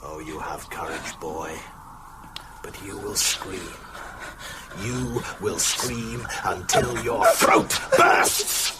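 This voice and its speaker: An actor playing a mocking, cruel master vampire in a radio horror drama, with a gravelly voice and theatrical, taunting delivery. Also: male voice, low voice, expressive, extremely slow, heavy background noise, full character voice. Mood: menacing.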